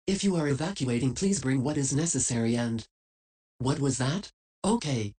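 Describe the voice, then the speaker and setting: A man anchoring a breaking news broadcast in a brisk newsreader delivery. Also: medium pitch, lively intonation, a little mellow, slightly rough.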